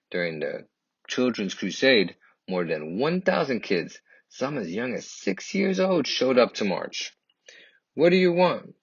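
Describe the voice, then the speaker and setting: A man reading a children's picture book aloud in first person, with earnest, deliberate and expressive delivery. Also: fairly low pitch, slightly rough, no echo, highs cut off. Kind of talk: expressive scripted storybook narration in first person.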